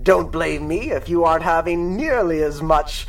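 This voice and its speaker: An actor playing a gloating, sadistic supervillain in a pulp radio drama, with mocking, drawn-out theatrical delivery. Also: male voice, on the high side, lively intonation, creaky, recorded from a distance. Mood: taunting, gleeful. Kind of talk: radio drama acting in 1930s pulp style.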